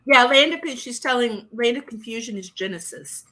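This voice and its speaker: A woman's voice: fairly high pitch, normal speed, bright, forceful tone, a little hiss or hum. A middle-aged woman co-hosting a livestream, chatting casually and sometimes groping for words. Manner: chatty, loses her words mid-sentence. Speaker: livestream co-host. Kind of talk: casual chat.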